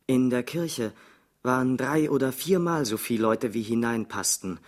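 An actor playing an earnest civil rights activist who gives halting testimony in a radio drama. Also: male voice, medium pitch, lightly acted. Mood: earnest, relieved. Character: male civil rights activist recalling refuge, earnest survivor.

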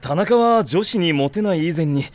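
A man playing a dependable, kindly but strict high-school team captain in an anime audio drama, frank with his juniors. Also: lively intonation, moderate pace, lightly acted. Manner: frank, senior talking to juniors.

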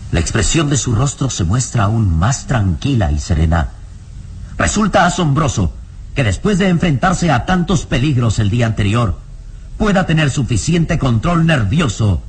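A man narrating a radio drama in a solemn, deliberate, dramatic scripted style. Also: mid-range voice, big pitch swings, slightly rough.

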